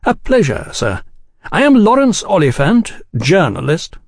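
A man voicing an urbane English gentleman journalist, mild, cordial and effusively polite. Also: on the high side, very animated, moderate pace, creaky, dry recording, clean studio sound, pitched above the performer's own voice, full character voice. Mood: smoothly cordial.

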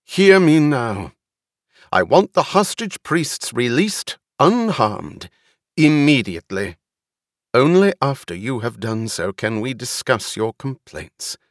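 Stern noblewoman ruler, played by a male narrator: authoritative, formal, resolute, deliberate, in a dry audiobook recording. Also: deep voice, lively intonation, a bit bright, no room sound, heavily acted. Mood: stern, commanding.

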